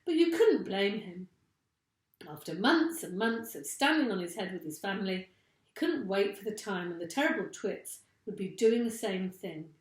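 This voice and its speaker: Animated woman reading a story, echoey room. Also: mid-range voice, normal speed. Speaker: teacher reading aloud. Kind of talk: expressive children's story narration.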